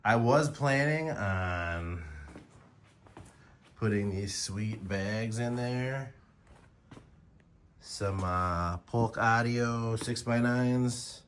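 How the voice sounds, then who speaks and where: A man's voice: medium pitch, drawn-out words, pauses often, a bit bright, slight background noise. An American man in his forties talking casually and informally to his phone as a motorcycle vlogger, rambling in a slangy, conversational way. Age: 40s. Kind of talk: casual rambling vlog to camera.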